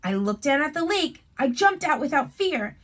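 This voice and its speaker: A woman reading a picture book aloud to young children like a teacher, with expressive, deliberate phrasing and friendly asides. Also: high voice.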